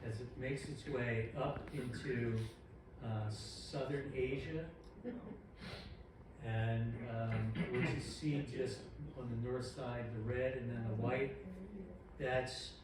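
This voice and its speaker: A man's voice: medium pitch, steady tone, takes it slowly. A man giving a lecture, walking the class through a map. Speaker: instructor. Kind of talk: lecture narration over a map. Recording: distant echoey room recording with noise.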